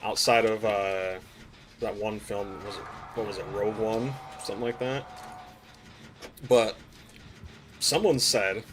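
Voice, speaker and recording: A man with a raspy, hoarse voice from a recent cold, chatting casually to his viewers while playing a game on a live stream. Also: lowish voice, fairly even intonation, a little slow, long pauses, fairly bright tone, a little hiss or hum. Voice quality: raspy throat. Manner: laid-back, chatty, slangy asides to chat. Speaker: gaming streamer. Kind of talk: casual live gameplay commentary.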